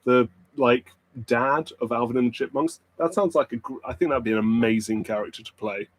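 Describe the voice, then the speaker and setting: A man's voice: medium pitch, brisk. A man with a British RP accent hosting a pub quiz livestream, chatting and joking with viewers. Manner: playful, joking banter with the chat. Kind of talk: casual livestream chat with viewers.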